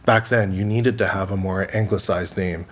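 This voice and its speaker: Canadian man telling animated stories. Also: low-pitched, normal speed. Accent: North American. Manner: animated storyteller, acts out both sides of conversations. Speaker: actor guest. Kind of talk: candid interview storytelling.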